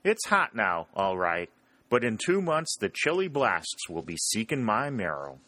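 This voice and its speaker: A man's voice: on the low side, sing-song, measured pace, pushed and bright, no echo, exaggerated voice. A man voicing an easygoing Northern frontier sergeant who talks in a dry, joking drawl. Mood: wry.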